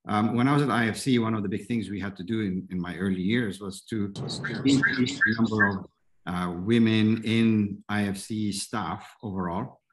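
A man in his fifties, raised in Egypt, speaks over a video call as a panel guest. His voice is lowish, and he talks haltingly, circling back and restarting as he works through his answers. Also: a bit bright, rough recording. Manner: halting, with many restarts and fillers. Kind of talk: answering questions in an online panel. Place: indoor room at home.

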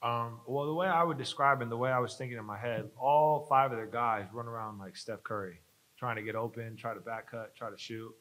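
A man's voice: medium pitch, fairly even intonation, a little fast, a bit bright, low-quality recording. A young man, a college basketball player, earnestly explaining the game to reporters at a press conference, speaking into a desk mic. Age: young adult. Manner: earnest, explanatory, some fillers. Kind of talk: postgame press conference answers. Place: press conference room, seated at a table. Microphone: desk mic on a stand.

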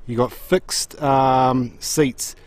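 A man's voice: medium pitch, fairly even intonation, very slow, dry recording. A man giving an unscripted, matter-of-fact walk-around commentary outdoors, plain-spoken and often marking each point with an okay. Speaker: car auction agent presenting a walk-around. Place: outdoors in an open car yard.

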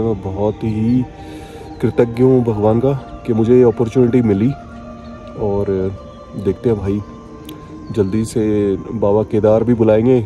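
A man's voice: lowish voice, mellow. A man chatting casually and enthusiastically to viewers as a travel vlogger, mixing in English words. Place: outdoors on a mountain trip. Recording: outdoor noise with vehicle sounds.

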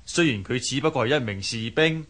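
A man's voice: mid-range voice, animated, fairly quick, a bit bright. A man performing as the storyteller in a scripted radio fable play. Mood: measured narration. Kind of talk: scripted radio drama narration.

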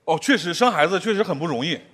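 A man's voice: high-pitched, expressive, fast talker. A man in his thirties performing as the exasperated straight man of a stand-up comedy duo on a large studio stage. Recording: noisy studio stage with loud crowd.

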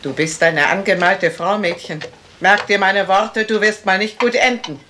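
A woman's voice: mid-range voice, steady tone, normal speed, noisy background, natural voice. A woman playing a stern, pious household servant in a radio mystery, severe and moralizing. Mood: severe, condemning. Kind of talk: German radio mystery play acting.

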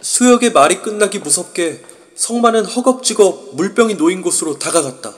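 A man's voice: high voice, lively intonation, a little fast. A man narrating an amateur audio drama in an irritated inner monologue. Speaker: narrator and lead.

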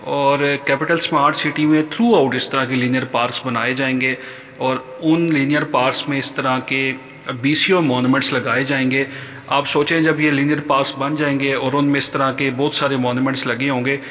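Male Pakistani vlog host, mid-pitched, polite and halting tour narration, long reverb on the voice. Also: steady tone, fairly bright tone, slight background noise. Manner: halting, polite, addresses viewers formally. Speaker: real estate vlogger and host. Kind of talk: informal site tour narration.

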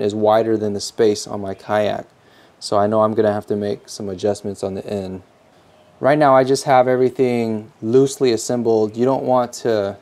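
Guy doing a diy how-to voiceover, soft mellow tone, plain spoken, walks you thru steps. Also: medium pitch. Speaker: DIY tutorial host. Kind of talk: scripted how-to narration.

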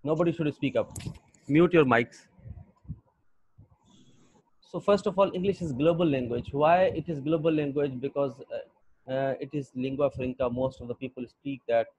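A young Pakistani man with a Pakistani accent, lecturing an online English class in a halting way with many fillers. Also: medium pitch, darkish tone, breathy edge, dry recording. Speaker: English teacher. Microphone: computer mic on a video call. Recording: dull lo-fi video call audio.

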